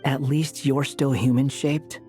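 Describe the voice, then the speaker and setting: A man's voice: mid-range voice, fairly even intonation, a little slow, dark tone, soft background music, close to an everyday voice. A man voicing a reserved, pragmatic young man in a video game, dry and understated. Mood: wry.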